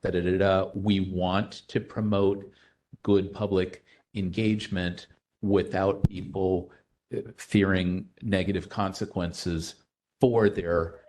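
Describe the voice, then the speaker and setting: Committee chair, male, low-ish voice, talks in stops and restarts. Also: darkish tone. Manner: halting, thinks aloud with restarts. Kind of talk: public meeting discussion.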